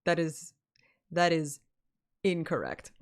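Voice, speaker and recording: A woman's voice: low-pitched, sing-song, takes it slowly. A woman streamer with a casual, giggly, self-deprecating manner who swears and reads a game story aloud. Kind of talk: casual chat and dramatic story read-aloud. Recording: loud music behind. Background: music.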